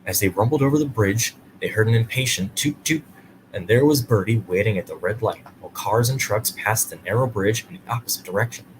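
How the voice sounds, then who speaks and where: Lower-pitched male storyteller, noisy recording. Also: fairly quick. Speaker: storyteller and host. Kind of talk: reading a children's bedtime story aloud.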